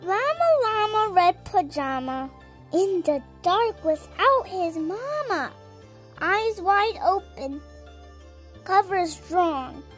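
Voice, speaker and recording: A seven-year-old girl reading a children's story aloud in a deliberate, sing-song, emphatic way. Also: very high voice, lively intonation, soft background music. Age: child, seven years old. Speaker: storyteller reading a picture book.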